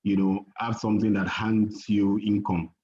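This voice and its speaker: Low-voiced man presenting over a call. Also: little pitch movement, warm tone. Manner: measured, with many fillers and restarts. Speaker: investment presenter. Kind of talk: webinar presentation. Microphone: video call. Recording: muffled video call audio.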